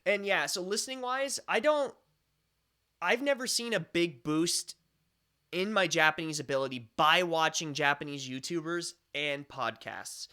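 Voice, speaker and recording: A man's voice: fairly high pitch, pushed and bright. A young American man hosting a live stream at home, chatting in a rambling way with many fillers and restarts. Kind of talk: casual chat.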